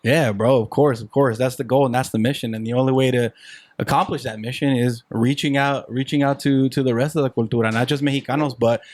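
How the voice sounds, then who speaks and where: A man's voice: mid-range voice, fairly even intonation, a little fast, a little mellow, dry recording. A young adult man hosting a casual podcast interview in a cigar shop, talking in a laid-back, friendly way and mixing in Spanish words. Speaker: podcast host.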